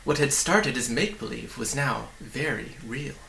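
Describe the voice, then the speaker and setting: Bright, expressive man reading a storybook. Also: mid-range voice, moderate pace, gravelly, reverberant, slight background noise, rough recording. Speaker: storybook reader. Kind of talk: read-aloud children's story narration, with asides.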